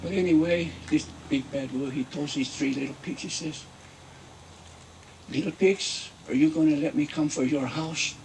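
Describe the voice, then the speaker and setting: A man playing a Mexican-American storyteller who tells a comic fairy tale in broken English, with drawn-out, repetitive folksy phrasing. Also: fairly high pitch, creaky, a little mellow, far from the mic, slight background noise, poor audio. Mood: comic indignation. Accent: put-on Mexican-American.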